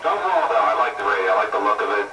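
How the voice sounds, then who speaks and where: A man's voice: fairly low pitch, very animated, racing, reverberant, recorded from a distance, heavy background noise. A man, a hobbyist radio operator, chatting casually over two-way radio.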